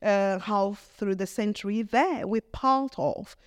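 A middle-aged woman with a Brazilian Portuguese accent, speaking in English as a vicar. She gives an earnest, halting testimony and often restarts her phrases. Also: mid-range voice, smooth. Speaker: vicar, guest. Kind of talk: reflective testimony in conversation.

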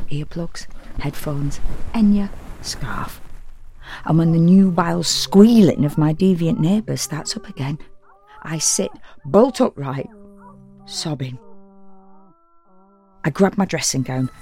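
A woman playing an exhausted, fretful woman who narrates a confessional audio drama monologue in an intimate, wry, deliberate way. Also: low voice, gravelly, a little mellow. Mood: weary, anxious, then agitated, watchful. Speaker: narrator and actor.

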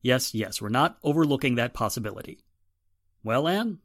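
Actor as steady, dry-recorded 1940s police inspector. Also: male voice, medium pitch, normal speed, no echo, close to an everyday voice. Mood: businesslike. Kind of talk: 1940s radio drama acting.

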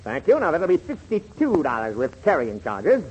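A man's voice: a little high, wildly swooping pitch, moderate pace, a little mellow, slightly rough, full character voice. An actor playing a wisecracking, sly American used-car salesman with wry delivery in a 1940s radio comedy. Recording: noisy old radio broadcast, audience behind.

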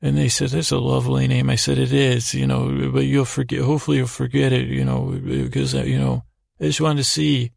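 Male sleep-podcast host: heavy vocal fry, flat pitch, halting and whimsically rambling, self-deprecating. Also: no echo, clean studio sound. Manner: meandering, halting, stammering and repeating words. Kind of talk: rambling bedtime sleep-story monologue.

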